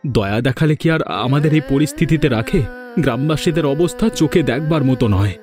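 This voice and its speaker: A man's voice: on the high side, brisk, a little mellow, faint music behind, lightly acted. A man voicing a talkative, self-assured villager in a cartoon folk tale, conversational and emphatic. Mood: lamenting.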